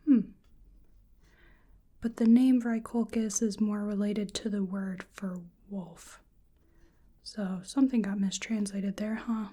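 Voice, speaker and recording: A woman with a soft, breathy, often whispery voice doing ASMR roleplay as a bewildered, polite researcher, speaking hesitantly to the listener. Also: mid-range voice. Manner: hesitant, murmuring to an unseen listener. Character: bewildered, polite female researcher examining a creature.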